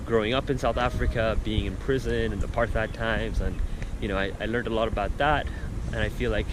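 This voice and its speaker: A young man from Nepal chatting casually to his camera outdoors while he travels, upbeat, with many fillers. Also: fairly low pitch. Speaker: travel vlogger. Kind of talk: casual vlog narration. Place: outdoors in a city. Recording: loud outdoor street noise.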